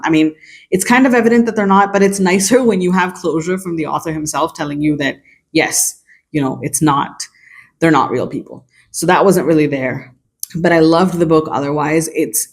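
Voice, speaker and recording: Earnest young book reviewer thinking aloud. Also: mid-range voice. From China. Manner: thoughtful and earnest, with frequent hedges and restarts. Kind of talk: casual video book review.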